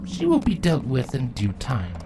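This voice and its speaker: Woman acting as vengeful changeling queen, imperious, menacing, low animated voice, fantasy audio story, noisy recording. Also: normal speed, exaggerated voice. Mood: cold, disdainful. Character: cold, regal, vengeful changeling insect queen. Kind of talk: dramatic fan fiction audio reading.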